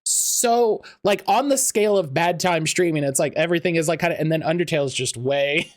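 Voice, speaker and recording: A man's voice: fairly high pitch, a little fast. A man hosting a podcast interview, rambling with lots of fillers and restarts. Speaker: podcast host.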